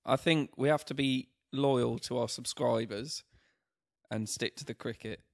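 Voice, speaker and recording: A man's voice: medium pitch, steady tone, measured pace, creaky, dry recording, clean studio sound. A man with a nasal voice, stuffy with a cold, co-hosting a casual podcast chat and joking a lot. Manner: jokey, laughs often, says mate. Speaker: podcast co-host.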